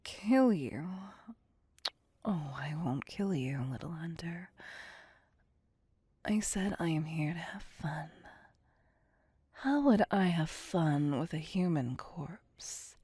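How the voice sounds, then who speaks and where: An ancient female vampire, acted by a woman for an ASMR roleplay, possessive and playful. She speaks very slowly in a dark, breathy near-whisper, coaxing and commanding the listener as her prey. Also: deep voice, expressive, no room sound, exaggerated voice. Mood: playful, domineering. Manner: slow, teasing, coaxing and domineering.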